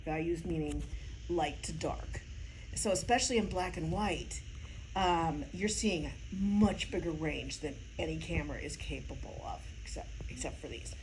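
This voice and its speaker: A woman's voice: sits low, moderate pace, a little mellow, rough recording. Chatty, enthusiastic woman with faint room noise. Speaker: artist being interviewed. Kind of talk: casual chat, explaining.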